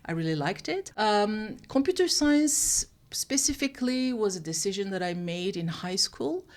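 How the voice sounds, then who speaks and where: A woman's voice: medium pitch, big pitch swings, normal speed. A woman from France, a professor answering interview questions conversationally. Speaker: professor, guest.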